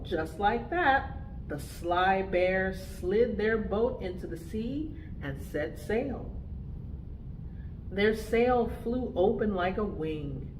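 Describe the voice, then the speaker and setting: Lady doing preschool storytime, slow and really animated, little bit of noise behind her. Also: mid-range voice, roomy sound, poor audio. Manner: expressive, deliberate phrasing for children. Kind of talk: animated picture-book reading.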